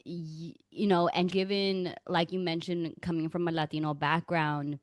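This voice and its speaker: Upbeat female podcast host, lower register, dry close mic. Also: flat delivery, moderate pace, dry recording. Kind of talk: scripted intro then interview questions.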